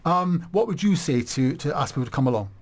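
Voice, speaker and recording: Chatty male radio host, lively. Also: fairly high pitch, fairly quick. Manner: chatty, animated, warm enthusiasm with frequent restarts. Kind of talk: live radio interview. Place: radio studio.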